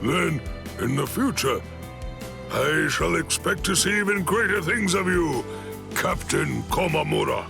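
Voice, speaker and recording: An actor playing a stern yet kindly old military head captain in an anime game, speaking in a measured, formal, gravelly voice. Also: male voice, a little high, animated, over music, heavily acted. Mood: gently advising. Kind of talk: scripted anime game cutscene dialogue.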